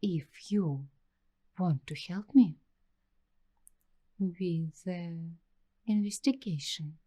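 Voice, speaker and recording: A woman performing an ASMR roleplay as a paranoid young waitress, speaking in a secretive, deliberate hush that drifts into whispers. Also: lowish voice, slightly rough. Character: paranoid young waitress investigating a creepy attic mystery. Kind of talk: ASMR roleplay, slow scripted monologue.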